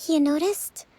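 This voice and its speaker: A woman voicing a young priestess leader in a video game, soft-spoken, polite and measured. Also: sits high. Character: young priestess leader, gentle, composed, privately weary. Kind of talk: English video game voice acting.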